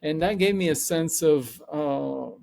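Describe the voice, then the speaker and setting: A middle-aged man speaks. His voice sits a little high and carries a gravelly edge. He talks in a reflective, searching way, circling back and correcting himself as he goes. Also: a little slow, darkish tone. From Israel. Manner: thoughtful, rambling with self-corrections. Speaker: podcast guest, psychologist and coach. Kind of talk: reflective conversational interview.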